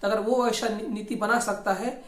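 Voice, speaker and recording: A speaker with a Jharkhand accent giving informal political commentary, often repeating and rewording phrases in a halting way. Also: medium pitch, steady tone. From Jharkhand, India. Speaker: host of a regional news commentary channel. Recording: echoey, roomy sound.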